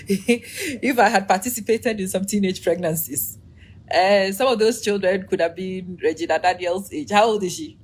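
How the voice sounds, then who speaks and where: A woman in her forties hosting a casual live stream, chatting, laughing often and greeting viewers by name, with her energy running low. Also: a little slow, slightly rough.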